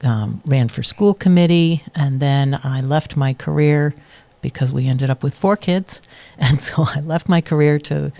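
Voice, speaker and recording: Gravelly, mellow-voiced woman from New Hampshire. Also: sits low, a little slow. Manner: thoughtful, with many ums and restarts. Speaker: sustainability committee chair. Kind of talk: casual interview talk.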